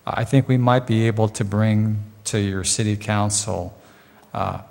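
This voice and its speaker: Man, low voice, earnest and long-winded, board member, meeting hall with long reverb. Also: steady tone, unhurried, creaky, darkish tone. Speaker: community services district board member. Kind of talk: public meeting discussion.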